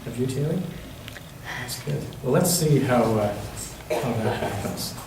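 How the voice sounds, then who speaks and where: A man reading a picture book aloud to children in a church, pausing to put questions to them. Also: mid-range voice, animated. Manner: pauses to ask the listening children questions. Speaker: church children's story reader. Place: large echoey church interior. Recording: very echoey church room, distant mic, faint noise.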